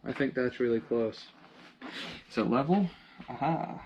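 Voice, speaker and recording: Slow-paced young man muttering through DIY. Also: medium pitch, a little mellow. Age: young adult. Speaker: DIY vlogger. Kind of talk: casual hands-on project narration, half talking to himself. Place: living room, indoors. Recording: dull lo-fi sound, clear background noise.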